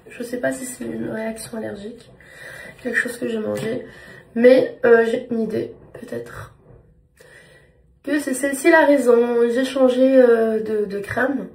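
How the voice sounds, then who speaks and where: A woman in her thirties whose first language is Spanish talks earnestly to her viewers from home. Her voice is mellow and warm with a gravelly edge, and she often repeats words while she searches for her phrasing. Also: on the high side. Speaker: vlogger. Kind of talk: casual talk to camera.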